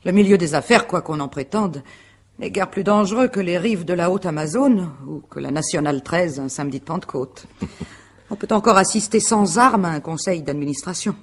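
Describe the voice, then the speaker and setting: An actress playing a proud, composed wife and company director under questioning in a radio mystery drama, articulate, formal and dryly ironic. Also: female voice, lowish voice, moderate pace, breathy edge. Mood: guarded, dryly ironic.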